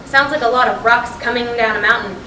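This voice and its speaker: A woman's voice: a little high, fairly even intonation, brisk, pushed and bright. A woman in a staged play reading, playing a blunt, restless middle-aged wife who is fed up. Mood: needling, curious. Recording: echoey room from far off, noisy.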